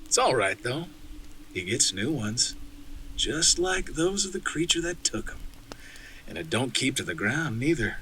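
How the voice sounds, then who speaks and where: A man playing a hearty backwoods man in a horror audio drama, with folksy rural phrasing and a storyteller's pauses. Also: lowish voice, sharp tone, a little hiss or hum, rough recording. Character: gruff, hearty backwoods man retelling wilderness legends.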